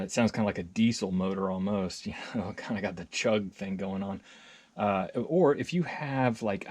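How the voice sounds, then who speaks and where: A man's voice: lowish voice, darkish tone, slightly rough. A man hosting a casual, unscripted gear demonstration, enthusiastic, rambling along with muttered asides and self-corrections. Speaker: hobbyist video host and reviewer.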